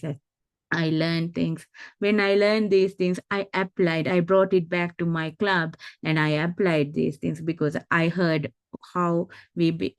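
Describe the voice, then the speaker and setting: A woman from Sri Lanka, moderating a club event and asking an earnest question, halting and searching for words. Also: low voice, gravelly, dry recording. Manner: halting, with fillers and restarts, earnest.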